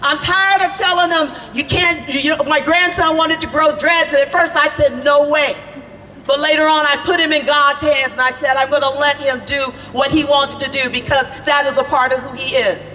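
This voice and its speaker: An older woman, a grandmother and preacher, giving a fiery protest speech outdoors, often shouting in repeated phrases. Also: sits high, fairly even intonation, moderate pace, faint music behind. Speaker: preacher speaking at a rally. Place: outdoor rally at city hall. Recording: reverberant PA sound with loud crowd.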